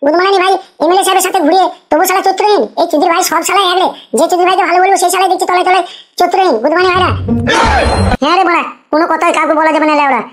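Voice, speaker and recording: A woman plays a squeaky, extremely high-voiced young village drunkard from a crude comedy cartoon. He rants at breakneck speed, grumbling and swearing without pause. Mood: disgusted ranting. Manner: ranting, swearing constantly. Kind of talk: crude comic cartoon dialogue.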